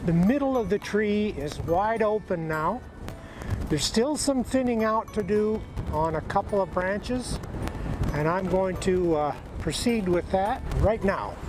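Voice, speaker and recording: A man's voice: high voice, rough recording. A man with an American accent giving an instructional demonstration in a folksy, plain-spoken way as an educator. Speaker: extension educator, presenter.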